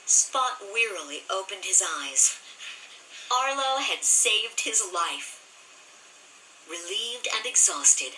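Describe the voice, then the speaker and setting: A woman narrating a children's story as an expressive, scripted read-aloud. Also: mid-range voice, slight background noise. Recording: roomy, slightly distant, music behind.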